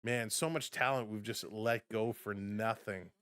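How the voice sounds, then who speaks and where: Hoarse-edged man calling hockey play-by-play. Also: on the low side, steady tone, fairly bright tone, no echo, clean studio sound. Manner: brisk play-by-play, chatty asides to viewers. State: voice getting hoarse. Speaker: fan stream commentator. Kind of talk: live play-by-play and chat reactions.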